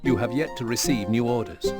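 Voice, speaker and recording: Male game narrator, mid-range, measured and formal storytelling, set over strong musical backing. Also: mid-range voice. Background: loud plucked-string music.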